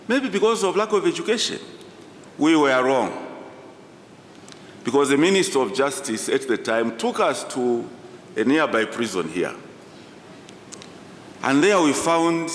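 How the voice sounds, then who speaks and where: Slow, echoing male conference orator. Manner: deliberate, emphatic, short phrases with pauses. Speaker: professor giving a conference address. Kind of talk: formal public speech, storytelling. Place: large indoor conference hall. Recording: echoey hall, distant reverberant sound, low rumble.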